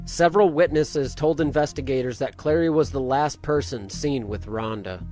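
A man narrating a true-crime case in a steady, matter-of-fact way. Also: mid-range voice.

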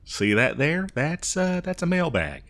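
A man's voice: fairly high pitch, very animated, a little slow, a bit bright, slight background noise, heavily acted. Guy doing a folksy old west drifter, exasperated n rambly, voice swings up and down, comedy audio roleplay. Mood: defensive. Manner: folksy, rambling, hesitant improvised delivery. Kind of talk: improvised comedic audio roleplay addressed to the listener.

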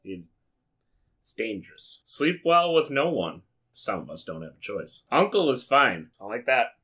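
An American man with a middling pitch and a sharp, bright voice hosts a comedic reading. He moves between reciting and casual commentary, which is deadpan, wry and peppered with swearing. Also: unhurried, slightly rough. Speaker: YouTube host. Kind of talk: comedic read-aloud with casual asides. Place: small indoor studio with foam panels.

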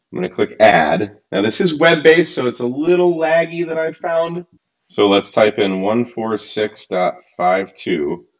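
Man, 30s, very low voice, casual hobbyist tutorial host, frank and chatty, slightly muffled desk-mic sound. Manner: chatty, frank, mildly exasperated asides. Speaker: radio gear reviewer. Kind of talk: casual screen-recorded tutorial. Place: home workbench room.

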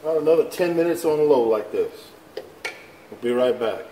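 A man's voice: mid-range voice, animated, a little fast, mellow. A man hosting a home cooking show, talking the viewer through each step in an easygoing, folksy way at his kitchen stove. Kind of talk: casual cooking demonstration. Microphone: camera over the stove, hands in frame. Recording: echoey kitchen, faint pan noise.

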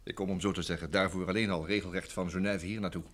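A man's voice: low voice, fairly even intonation, fast talker, a bit bright. A man acting a polite, busy secretary in an old Dutch radio drama, speaking in hesitant phrases with repeated words. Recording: vintage radio drama recording.

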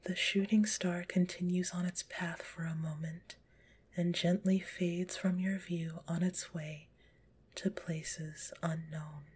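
A woman softly whispering a guided relaxation in an ASMR style, gentle and soothing, with a slightly stuffy nose. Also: lowish voice, flat delivery, takes it slowly. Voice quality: breathy whisper; stuffy-nosed. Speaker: guided visualization narrator.

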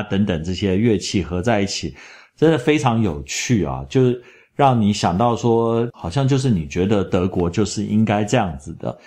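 A middle-aged man, chatting in a travel vlog voice-over and telling stories in an animated way. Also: fairly low pitch. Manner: chatty, animated storytelling with laughs. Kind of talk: casual travel commentary voice-over.

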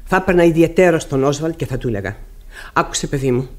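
An actress playing a bitter, haunted middle-aged widow in a classic radio drama, with an emphatic, theatrical delivery. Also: female voice, low voice, expressive, a little mellow. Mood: bitter, resolute.

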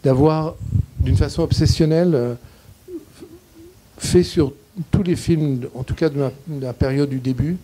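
Older guy in his 60s, very dark low-lit voice, keeps searching for words, handheld mic in a small room, thoughtful q&a answers. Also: rough recording. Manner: halting, searching for words, gesturing. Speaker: guest cinematographer. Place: small indoor screening room in a shop. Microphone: handheld mic, standing.

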